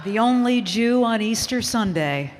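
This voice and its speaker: A woman's voice: a little high, expressive, a little slow, noisy background. A woman reciting short scripted statements, with long hall reverb on her voice. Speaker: reader of short personal statements.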